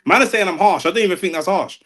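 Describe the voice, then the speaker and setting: A man's voice: high-pitched, rapid-fire, fairly bright tone. A young man, co-hosting a boxing chat, blunt and opinionated with street slang. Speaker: boxing podcast co-host. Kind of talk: casual chat.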